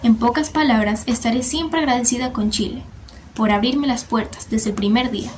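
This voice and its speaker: A woman's voice: a little high, normal speed, a little hiss or hum. A young woman fluently reading a grateful testimony. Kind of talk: personal testimony.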